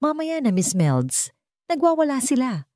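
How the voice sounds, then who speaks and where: A woman's voice: high voice, sing-song, a little slow, darkish tone, no room sound, studio quality, pitched above the performer's own voice. A woman with a Filipino accent voicing a harried, desperate millionaire father in an audio story, terse and strained.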